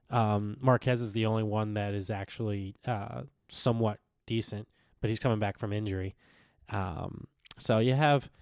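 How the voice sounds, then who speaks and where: A young man co-hosting a casual sports podcast, slightly raspy from recent illness, rambling with lots of fillers and restarts. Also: fairly low pitch, a little mellow, no echo, studio quality. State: recovering from illness, a bit raspy. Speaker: podcast co-host.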